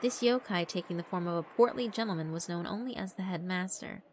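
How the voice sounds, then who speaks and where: A woman's voice: on the low side, a little fast, a little mellow, a little hiss or hum. A woman giving scripted narration for a horror visual novel. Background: background music.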